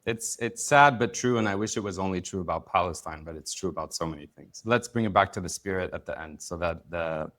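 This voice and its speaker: A man hosting an interview podcast, asking questions in a measured way with some hesitation. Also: sits low, fairly bright tone. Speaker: podcast host.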